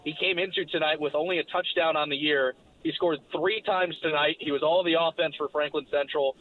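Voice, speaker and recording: A man, slightly hoarse, giving a brisk recap of a football game as its broadcaster. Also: a little high, fairly even intonation. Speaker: play-by-play broadcaster. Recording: phone line.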